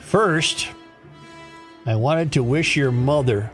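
A man hosting a radio show, reading aloud and chatting in a deliberate, picky way, spelling things out. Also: medium pitch, faint music behind.

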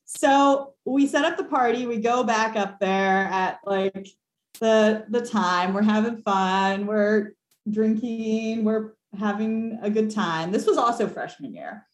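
A young woman telling a ghost story on a podcast call, animated and laughing, with lots of fillers. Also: mid-range voice, slow pace. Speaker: caller. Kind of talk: casual storytelling. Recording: echoey room.

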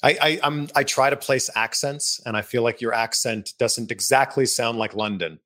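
Canadian guy asking questions, bright punchy voice, friendly and curious. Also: medium pitch, normal speed. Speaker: interviewer, TV host. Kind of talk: casual video interview.

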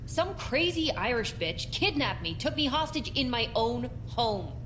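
A woman's voice: fairly high pitch, sing-song, measured pace, a bit bright, slight background noise. An actress playing a sarcastic, impatient young woman held captive, with a dry delivery in a comedic audio drama. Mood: dry impatience. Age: young adult.

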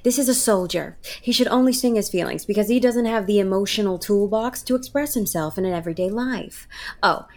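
A woman's voice: medium pitch, fairly quick, a little mellow, lightly acted. A woman playing an effusive, self-important theatre director in an audio comedy drama, grandiose and assured. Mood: commanding. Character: gushing, self-important female theatre director, bluntly confident.

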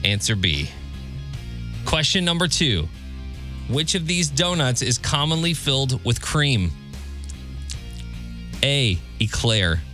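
A man working as a radio quizmaster, with a mid-pitched voice. He delivers trivia questions and light banter in an upbeat hosting style. Music plays faintly underneath him. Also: very animated, slow, pauses often, sharp tone. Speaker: radio show host, quizmaster. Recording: music bed behind the voice. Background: background music.

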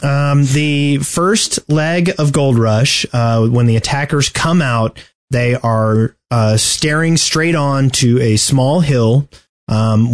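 A man hosting a podcast, casually discussing video game strategy in a halting, deliberate way. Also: medium pitch, a little mellow. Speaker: podcast host.

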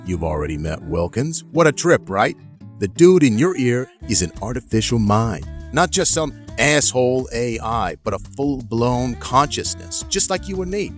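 A man's voice: medium pitch, close to an everyday voice. A man playing a brash, foul-mouthed tech company boss giving an orientation video in an audio drama, with breezy, slangy bro talk.

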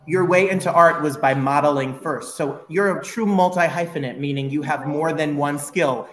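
A man's voice: fairly high pitch, normal speed, slight background noise. A man, a musical theatre teacher, interviewing a guest for his students, encouraging and explaining terms as he goes. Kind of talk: classroom interview.

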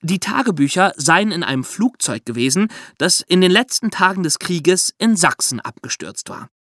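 A man hosting a podcast, explaining true crime history as lively, conversational storytelling. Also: high-pitched, moderate pace, dry recording, studio quality.

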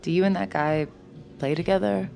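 An actress playing a flustered, warm-hearted working woman in naturalistic audio drama, speaking casually and haltingly. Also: fairly low pitch, steady tone, creaky, soft background music. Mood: casual, relieved.

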